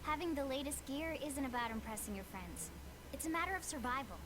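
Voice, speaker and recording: A woman's voice: sits high, animated, brisk, background noise. An actress playing an eager, chatty young female recruiter in a video game cutscene, with a bubbly, pushy manner. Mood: enthusiastic explaining.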